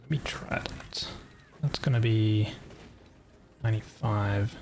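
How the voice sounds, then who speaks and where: A man, streaming a game and thinking aloud in a relaxed, calm way. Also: on the low side, slow, background noise. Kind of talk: casual gameplay commentary.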